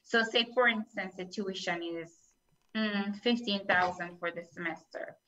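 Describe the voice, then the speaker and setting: A woman's voice: mid-range voice, unhurried, pushed and bright. A middle-aged woman, an attorney hosting a talk show, explaining legal matters step by step with examples over a video call. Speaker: host, immigration attorney. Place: small plain room indoors, video call. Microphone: laptop or webcam microphone on video call. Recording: dull, lo-fi video-call audio.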